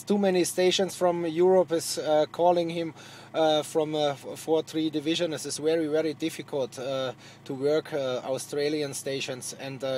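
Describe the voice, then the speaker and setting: Halting Hungarian-accented man, higher-pitched, noisy radio audio. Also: darkish tone. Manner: halting non-native English, repeats words. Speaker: truck driver and amateur radio operator. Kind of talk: casual radio contact chat.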